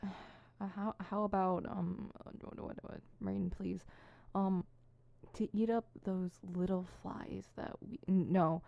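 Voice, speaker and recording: A woman's voice: medium pitch, fairly even intonation, takes it slowly, vocal fry, dry recording, dull audio. A woman playing an insecure, theatrical supervillain rehearsing monologues in an ASMR roleplay, switching between fumbling mutters and pompous recital. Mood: flustered, self-critical. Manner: fumbling self-conscious muttering broken by pompous verse recital. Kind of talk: ASMR roleplay, scripted solo audio drama.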